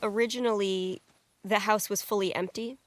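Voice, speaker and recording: Woman w average pitch chatting thru game cutscenes, earnest, kinda analytical, dev commentary style. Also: fairly even intonation, normal speed, no room sound.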